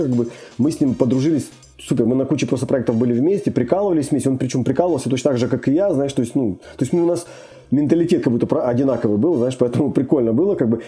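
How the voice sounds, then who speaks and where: A man's voice: medium pitch, mellow. A young man chatting casually as a guest, rambling with many fillers and restarts. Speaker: immigrant guest. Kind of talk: casual conversation.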